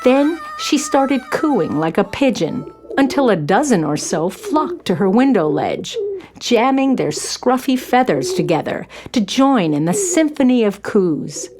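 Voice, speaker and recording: Slow, expressive woman storyteller. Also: a little high, over music. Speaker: narrator. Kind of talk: scripted children's story narration.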